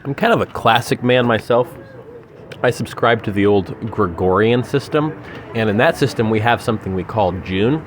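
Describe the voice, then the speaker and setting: Dry, low-pitched man, noisy surroundings. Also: normal speed. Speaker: podcast co-host. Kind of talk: comedic podcast banter. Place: local restaurant. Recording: clearly noisy restaurant background.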